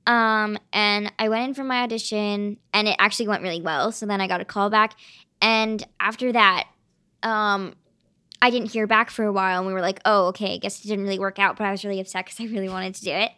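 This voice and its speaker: A woman's voice: mid-range voice, steady tone, brisk, sharp tone, clean tone. Chatty teenage girl actress, lots of "like". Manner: eager, chatty, many fillers like "um" and "like". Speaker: young stage actress, guest. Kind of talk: casual interview chat.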